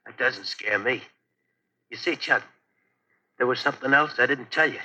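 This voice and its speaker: An actor playing a bitter, vengeful ex-convict with a taunting, deliberate manner in a 1940s radio thriller. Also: male voice, mid-range voice, bright, forceful tone, dull audio. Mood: grimly resolved. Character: bitter, calmly vengeful dying male ex-convict. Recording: vintage 1940s radio recording.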